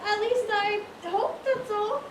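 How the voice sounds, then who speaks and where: A woman's voice: very high voice, fairly even intonation, slow. A woman playing a nosy, arch society gossip with sugary politeness in an amateur stage comedy. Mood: coy amusement. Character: nosy, gleefully coy society lady gossip. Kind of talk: amateur one-act stage comedy acting. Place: stage in a large echoey room. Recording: echoey stage, noisy, far-off mic.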